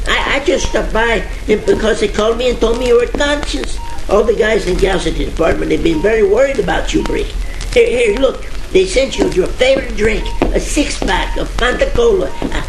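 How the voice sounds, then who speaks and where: A man's voice: high-pitched, gravelly. A man playing a friendly but easily exasperated police chief in a parody radio detective comedy, speaking emphatically and repeating himself. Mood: baffled. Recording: echoey old-radio parody with music.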